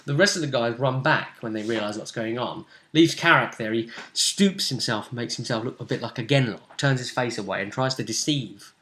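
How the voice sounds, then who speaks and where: A man working as game master, casually recapping a tabletop role-playing session with animated, emphatic storytelling in a roomy space. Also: medium pitch, a little fast, few pauses, a little hiss or hum. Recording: roomy, echoey sound.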